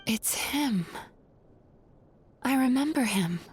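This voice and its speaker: Thoughtful, higher-voiced young psychologist. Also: female voice, animated, a little hiss or hum. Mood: pensive, concerned. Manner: measured, pensive. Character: gentle, thoughtful young female psychologist, composed and earnest. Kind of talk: scripted game dialogue. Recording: faint music behind the voice.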